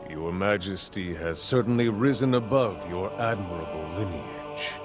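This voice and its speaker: A man playing a cunning, ambitious warrior king in a video game, speaking in formal, measured, courtly phrases of feigned loyalty, with music behind him. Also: deep voice. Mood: smooth feigned deference. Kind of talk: dramatic video game acting.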